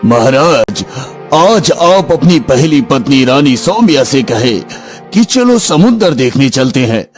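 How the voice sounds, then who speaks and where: Casting: male actor for wise cartoon healer, solemn and authoritative, dramatic pauses, drawn-out delivery over faint music. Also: medium pitch, big pitch swings. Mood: instructing. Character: wise sage healer, grave and commanding. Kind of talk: Hindi fairy tale cartoon dubbing.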